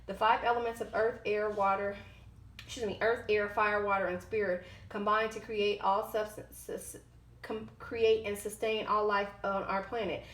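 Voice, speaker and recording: A woman giving an informal tarot and oracle card reading, partly read aloud, halting and often correcting herself as she goes. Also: medium pitch, level tone, a bit bright. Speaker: tarot card reader, host. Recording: roomy, echoing sound.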